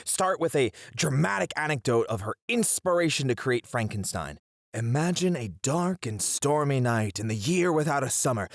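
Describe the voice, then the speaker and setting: A man's voice: medium pitch, no room sound, clean studio sound. A man playing a flamboyant literature teacher in a scripted ASMR roleplay, speaking in a playful, flirtatious, theatrical way. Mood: dramatic, enthusiastic. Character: flamboyant, teasing, dramatic male literature teacher.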